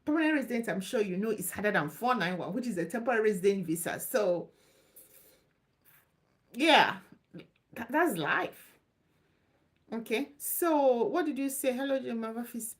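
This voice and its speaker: Woman, live stream host, loose chatty delivery, high-ish pitch. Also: expressive, moderate pace, long pauses. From Nigeria. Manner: chatty, loose and repetitive, reassuring. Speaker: live stream host answering visa questions. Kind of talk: casual live Q&A.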